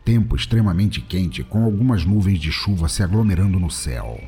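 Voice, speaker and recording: A man's voice: low voice, expressive, brisk, warm tone, faint music behind. A man reading scripted narration for an audio drama.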